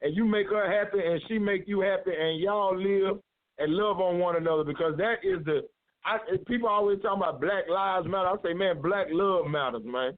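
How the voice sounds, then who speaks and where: Male radio host, high voice, loud and preachy, teasing the guests, dry phone-like sound. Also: brisk, dry recording. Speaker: show host. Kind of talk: casual radio chat.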